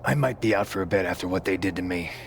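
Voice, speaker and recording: A man's voice: deep voice, quick pace, creaky, slight background noise. A man voicing an injured wrestler, bitter and insistent about revenge. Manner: resentful, insistent.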